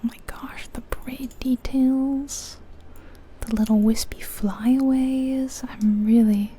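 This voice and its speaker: A woman whispering breathily in ASMR style, giving gentle, chatty commentary on a game as she goes. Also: high-pitched, steady tone, takes it slowly, a little hiss or hum. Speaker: ASMR video host.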